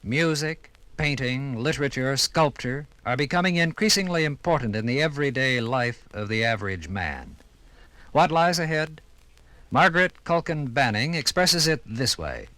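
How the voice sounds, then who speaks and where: A man's voice: mid-range voice, animated, normal speed, sharp tone, no echo. An American man narrating a 1950s radio documentary from a script in a solemn, declamatory, dramatic manner.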